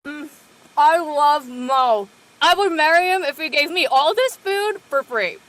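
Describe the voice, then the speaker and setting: A woman playing a playful, blunt, food-loving high school senior girl in a drama table read. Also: expressive, unhurried, fairly bright tone, low-quality recording.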